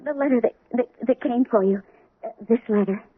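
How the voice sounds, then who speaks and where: An actress playing a jealous, fearful wife in a 1970s radio drama. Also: female voice, on the high side, brisk, breathy edge. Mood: anxious. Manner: clingy, anxious. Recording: tinny old radio broadcast.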